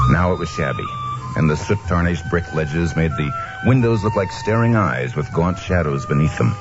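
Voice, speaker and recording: An actor playing an easygoing, wry newspaper reporter in an old-time radio drama, recorded with a muffled sound. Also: male voice, extremely low pitch. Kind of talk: old-time radio acting and first-person narration.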